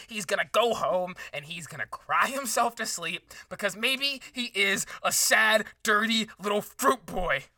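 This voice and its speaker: A man's voice: fairly high pitch, bright, forceful tone, no echo, distant mic. A man playing a dramatic, rambling, self-pitying podcast co-host and aspiring writer in an improvised comedy. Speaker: book review podcast co-host.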